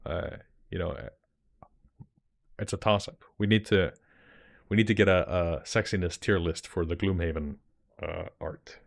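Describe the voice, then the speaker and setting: A man's voice: deep voice, fairly even intonation, measured pace, gravelly, long pauses. A young man with an Icelandic accent, chatting casually with viewers on a livestream and answering their comments with rambling restarts. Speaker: streamer and dungeon master.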